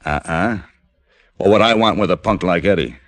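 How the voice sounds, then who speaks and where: A man's voice: low voice, moderate pace, a bit bright, dry recording. An American man playing a guarded ex-counterfeiter turned garage boss, tough, curt and sardonic, in an old-time radio crime drama. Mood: defensive, sardonic.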